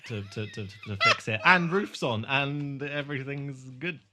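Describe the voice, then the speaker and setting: A man's voice: medium pitch, lively intonation, unhurried, heavy background noise. A man hosting a podcast, chatting casually as a recap is talked through. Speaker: podcast host.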